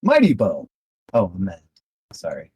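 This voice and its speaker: Animated man making joking asides. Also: sits low, unhurried, breathy edge, no room sound, clean studio sound. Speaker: player. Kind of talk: tabletop game chat.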